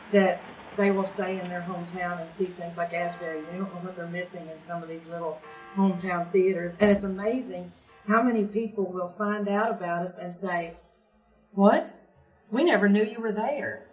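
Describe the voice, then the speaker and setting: A woman talks in a folksy interview style. Her pitch is average, and she is heard a little away from the microphone in a roomy, echoing space. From Jessamine County, Kentucky. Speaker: member of a community radio theatre troupe. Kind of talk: documentary interview, folksy conversational. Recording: roomy echo, distant microphone.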